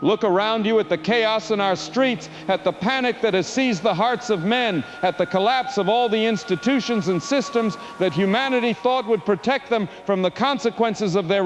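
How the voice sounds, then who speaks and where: A man's voice: sits high, slight background noise. A man playing a fervent, solemn doomsday preacher, delivering a grandiose apocalyptic sermon in an audio drama. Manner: grandiose, solemn scriptural oratory.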